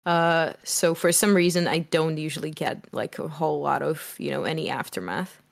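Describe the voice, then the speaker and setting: A young woman talks loudly and freely, her strong voice sitting low for a woman. She rambles a little with fillers as she tells stories about performing. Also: normal speed, creaky. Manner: loud, strong voice. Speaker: musician guest. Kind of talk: casual interview chat.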